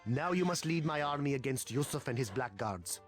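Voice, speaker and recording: A man's voice: medium pitch, lively intonation, normal speed, soft background music, close to an everyday voice. A man playing a proud, embattled medieval Spanish king in a video game, formal and imperious. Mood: commanding. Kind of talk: dramatic video game voice acting.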